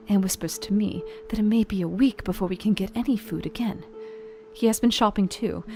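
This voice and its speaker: Radio play: female actor as gentle, devout, uneasy young Victorian wife, mid-pitched, earnest diary reading over music. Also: lightly acted. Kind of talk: radio play journal narration.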